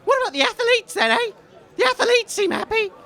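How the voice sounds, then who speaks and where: A man playing an excitable, naively optimistic wasteland radio DJ, gushing through comic commentary. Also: very high voice, a little fast, exaggerated voice. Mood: defensive optimism. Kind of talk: comic mock sports commentary. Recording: faint outdoor crowd behind.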